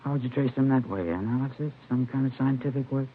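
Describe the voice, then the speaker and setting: An actor playing a shaken storekeeper in a 1950s radio crime drama, halting, polite and uncertain, with a gravelly voice. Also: male voice, medium pitch, phone-quality sound, lightly acted. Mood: subdued, uncertain. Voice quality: gravelly, creaky. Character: shaken, hesitant male storekeeper, holdup victim. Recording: thin, faintly noisy vintage radio recording.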